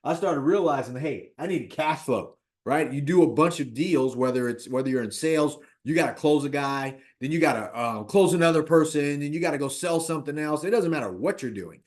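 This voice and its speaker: Animated fifty-year-old man talking money. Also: on the high side, a little fast, few pauses. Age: 50s. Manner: animated, repeats himself, lots of "right?". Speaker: real estate investor. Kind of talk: casual talk on personal finance.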